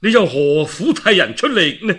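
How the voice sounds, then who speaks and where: A man's voice: high-pitched, very animated, moderate pace, a bit bright, slightly rough, exaggerated voice. A man with a Cantonese accent voicing a pragmatic warlord ally in a storybook tale, sighing and colloquial, reluctant yet obliging. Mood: dissuading, relenting.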